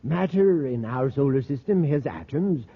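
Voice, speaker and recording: An actor playing an earnest, excitable middle-aged professor in a 1950s radio drama, explaining things in a scholarly, slightly hesitant way. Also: male voice, medium pitch, normal speed, husky. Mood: intense, explanatory. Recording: muffled tinny vintage radio recording.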